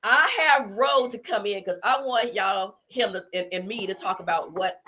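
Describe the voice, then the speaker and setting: A woman with a hoarse, fading voice, chatting animatedly as a guest on a livestreamed podcast and promoting an event. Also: mid-range voice, normal speed. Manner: animated, boastful, heavy on y'all. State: hoarse, losing her voice. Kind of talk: casual livestream chat.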